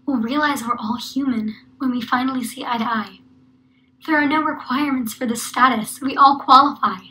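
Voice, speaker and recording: A woman's voice: high voice, normal speed, pushed and bright, low-quality recording. A woman reciting spoken-word poetry at a poetry slam.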